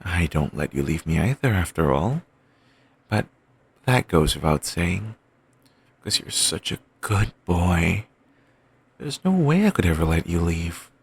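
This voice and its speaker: A man playing a gravelly, doting, possessive boyfriend. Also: big pitch swings, unhurried, pauses often, no echo, full character voice. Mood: tender. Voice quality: soft, soothing, murmured. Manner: coaxing, endlessly affectionate, quietly controlling. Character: doting possessive young male boyfriend, obsessive. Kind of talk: ASMR audio roleplay. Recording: home mic, low-quality.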